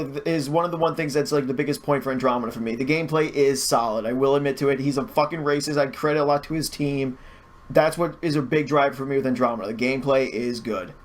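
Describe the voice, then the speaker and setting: A man chatting casually and giving opinions, repeating words as he goes and swearing freely. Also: a little high. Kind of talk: casual opinionated chat about gaming.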